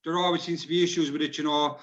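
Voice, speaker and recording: A man's voice: a little high, steady tone, racing, fairly bright tone, slight background noise. An Irish man giving casual practical advice in an online discussion, on dull lo-fi call audio. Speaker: workshop speaker advising on recording.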